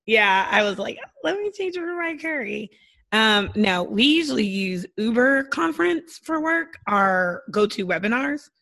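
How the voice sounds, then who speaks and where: A woman in her thirties chats as a podcast co-host. Her voice sits a little high with a slight hoarseness. She is giddy and joking, in a loose conversational style. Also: animated, moderate pace, fairly bright tone. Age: 30s. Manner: giddy, giggly. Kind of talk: casual, joking podcast chat. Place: remote video call.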